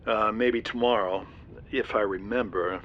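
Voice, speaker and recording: A man's voice: lowish voice, steady tone, unhurried, slight background noise, natural voice. A man playing a sly, teasing, self-satisfied colleague in a radio drama, with a drawling, needling delivery. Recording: dull lo-fi sound.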